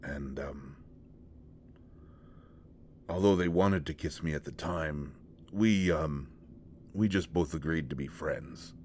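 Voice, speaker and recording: A very low-voiced young man played by a male voice actor, shy and awkward, in an intimate roleplay audio drama. He speaks slowly and haltingly, stammering bashfully as he confides. There is faint noise behind him. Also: a little mellow. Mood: bashful, reminiscing. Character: shy, awkward young male shapeshifter confessing to a close friend.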